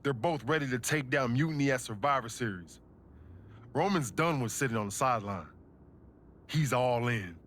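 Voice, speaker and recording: A man's voice: mid-range voice, expressive, moderate pace, fairly bright tone, a little hiss or hum, lightly acted. A man playing a defiant, proud pro wrestler in video game cutscenes, slangy and emphatic as he rallies his side. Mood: confident. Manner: slangy, emphatic motivational delivery.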